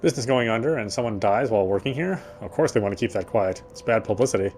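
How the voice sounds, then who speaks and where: A man's voice: on the low side, fast, a little mellow, soft background music, lightly acted. An actor playing a weary male city detective, narrating in the first person with a wry inner voice.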